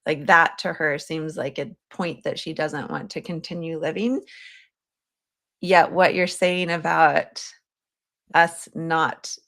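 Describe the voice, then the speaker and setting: A woman with a notably low voice hosts a conversational interview. She speaks slowly and tentatively, often halting and rephrasing as she thinks aloud. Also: steady tone, a bit bright. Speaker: podcast host.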